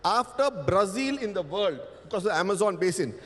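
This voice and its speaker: A man whose first language is Tamil speaks in a high, carrying voice on a festival panel. He talks with emphasis, poses rhetorical questions and stretches out key words. The sound rings with a long hall reverb. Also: lively intonation, fairly quick. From India. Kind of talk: panel talk.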